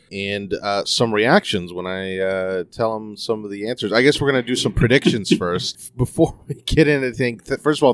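Host read: man, low and smooth voice, dry close sound, measured conversational delivery. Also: no room sound. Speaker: podcast host. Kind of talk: podcast interview hosting.

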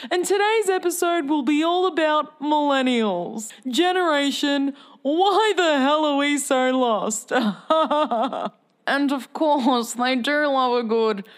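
A woman's voice: high voice, lively intonation, slow. A young woman acting as a playful, showy talk-show host, greeting people and introducing the episode. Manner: showy and playful, with mock grandeur.

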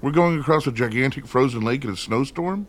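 A man's voice: mid-range voice, a little fast, close to an everyday voice. A man acting in a radio drama as an incredulous, reluctant fugitive who questions and protests. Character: incredulous male traveller, reluctant resistance fugitive.

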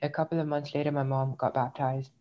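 A man's voice: fairly high pitch, level tone, quick pace, muffled recording. A young man from India, raised in the UAE, telling his personal story in a thoughtful, reflective way as an interview guest. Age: young adult. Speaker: interview guest, graduate student. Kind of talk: personal testimony in conversation.

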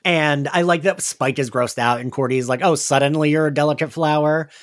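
A man's voice: fairly high pitch, fairly quick. A man hosting a casual recap discussion, conversational and often questioning. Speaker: podcast host.

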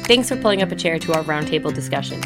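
A woman's voice: sits low, animated, rapid-fire. Woman host with faint music behind. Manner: scripted-sounding, uses "um" and "you know". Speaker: podcast co-host. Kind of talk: podcast intro and hosting.

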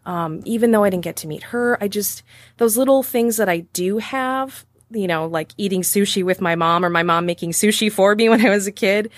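A woman's voice: medium pitch, fairly quick, dry recording. A woman in her thirties with a Wisconsin accent, talking casually as an interview guest, chatty with many fillers. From Wisconsin, USA.

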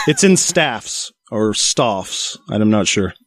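A man's voice: lowish voice, big pitch swings, very slow. A man with an American accent hosting a casual panel discussion on a podcast. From United States. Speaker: podcast host.

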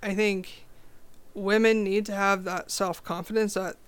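A young woman with a low voice, talking as a podcast guest in a direct, confident, casual way. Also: unhurried, clean tone. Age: young adult. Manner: confident, direct, animated storytelling. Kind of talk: casual interview chat.

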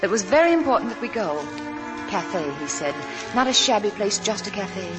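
An actress playing an earnest, shy young working woman, tender and wistful, speaking softly in a 1940s radio drama. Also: medium pitch, very animated, normal speed, lightly acted. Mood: wistful, reminiscing. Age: young adult. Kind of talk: 1940s radio drama acting, dialogue and narration. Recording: vintage 1940s radio broadcast, music behind.

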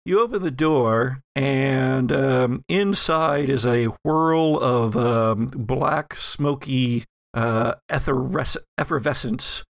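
A man running an actual-play tabletop game as game master, narrating haltingly with playful teasing. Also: medium pitch, gravelly.

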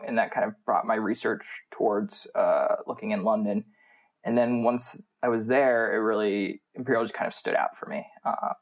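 A man with an American accent, a student guest answering interview questions in a casual, conversational style. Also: fairly low pitch. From United States. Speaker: MBA student, podcast guest.

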